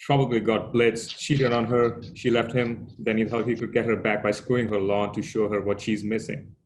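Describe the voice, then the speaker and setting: A man's voice: lowish voice, flat delivery, normal speed, close to an everyday voice. A man at a table read playing a dry, teasing police officer who wisecracks, recorded with noise and clicks. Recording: clearly noisy line with clicks.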